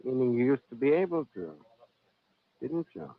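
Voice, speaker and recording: A man's voice: mid-range voice, very animated, normal speed, no echo. An actor playing a needling, sardonic newspaperman, dry and pointed, in an old-time radio drama. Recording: tinny, narrow old radio recording.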